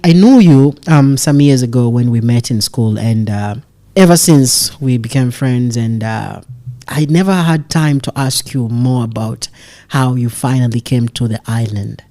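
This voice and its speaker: A man's voice: mid-range voice, unhurried, warm tone. A man hosting a podcast interview, introducing his guest in a formal, emphatic way and asking questions. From lives in Northern Cyprus as a foreign student. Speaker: podcast host.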